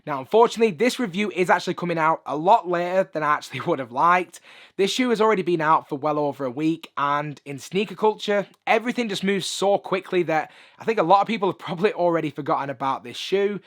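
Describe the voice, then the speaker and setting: A hoarse man, chatting upbeat through a sneaker review in a room full of shoes. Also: high voice, lively intonation, quick pace, fairly bright tone. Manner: chatty, upbeat, lots of filler phrases. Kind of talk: casual video review. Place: room with shoe collection.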